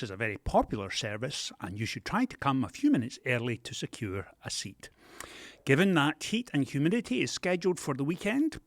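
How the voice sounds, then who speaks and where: A man, a pastor, hosting a church podcast with announcements and gentle jokes. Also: mid-range voice, dry recording, clean studio sound. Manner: animated, gently joking delivery.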